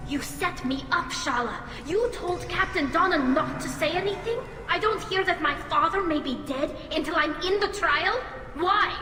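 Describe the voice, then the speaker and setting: A woman's voice: very high voice, animated, moderate pace. A woman playing an earnest, emotional young alien engineer on trial in a video game cutscene. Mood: angry, hurt. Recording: echoey hall, music underneath.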